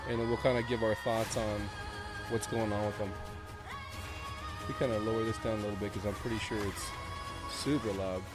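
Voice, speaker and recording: A man's voice: on the low side, poor audio. A male streamer with a cold, sniffly as he gives casual commentary on game previews, thinking aloud and rambling with restarts.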